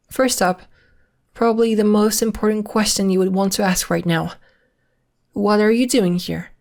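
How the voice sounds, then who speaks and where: A woman playing a secretive, polite and protective young werewolf in an ASMR roleplay, speaking in a measured, hesitant, matter-of-fact way. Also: mid-range voice, lightly acted. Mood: calm, questioning.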